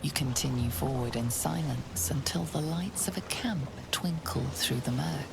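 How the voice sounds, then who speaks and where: A woman narrating a fantasy video game in a measured, ominous storytelling manner. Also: low voice, gravelly, background noise. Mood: hushed, ominous. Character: composed, ominous female narrator of a fantasy quest.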